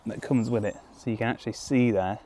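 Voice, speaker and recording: A young man speaks in a lowish voice, calmly walking through how to identify what he's found. He talks easygoing and explanatory, with plenty of ums and restarts. He is crouched outdoors in a woodland clearing, recorded by a camera a few metres off. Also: quick pace. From United Kingdom. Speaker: foraging guide. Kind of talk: outdoor teaching walkthrough. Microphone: camera on a tripod at a few metres, crouching in frame.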